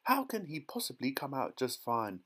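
A man voicing a hysterical, outraged mother in a children's storybook, shrill and indignant, snapping out the words. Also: huge pitch swings, moderate pace, a bit bright, breathy edge, heavily acted. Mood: anxious outrage.

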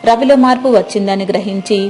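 A woman's voice: mid-range voice, fast talker, darkish tone, soft background music. A woman narrating a scripted moral story.

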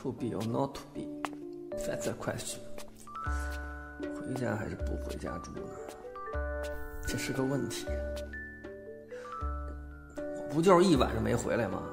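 Radio drama role: flustered, confused young man played by a male actor, creaky voice, music underneath. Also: medium pitch, very animated, measured pace, pauses often, exaggerated voice. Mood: brooding, self-justifying. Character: flustered, excitable young man confused about his feelings. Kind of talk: Mandarin audio drama acting.

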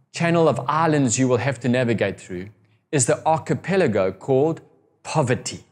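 A middle-aged man preaching a recorded sermon to camera, earnest and expressive, stretching key words for emphasis. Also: fairly high pitch. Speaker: pastor. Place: indoor room by a window.

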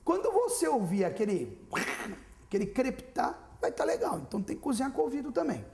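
A man's voice: extremely high pitch, a little fast. A man in his thirties, a TV chef in a studio kitchen, chatting to viewers while he cooks. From Brazil. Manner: lively, chatty, addressing viewers directly. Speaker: TV cooking show chef. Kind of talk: cooking demonstration. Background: frying and sizzling.

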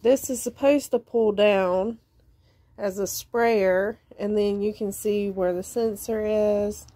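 A woman's voice: medium pitch, fairly even intonation, slow pace, mellow. A woman, chatting casually as she shows items in a vlog and explains them plainly, filmed on a handheld phone. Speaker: vlogger. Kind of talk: casual show-and-tell vlog.

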